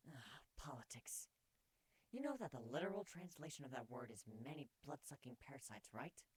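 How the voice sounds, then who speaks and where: A man's voice: mid-range voice, lively intonation, normal speed, husky. A man voicing a playful, teasing female pony with blunt wit in a fan fiction reading. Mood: disgusted.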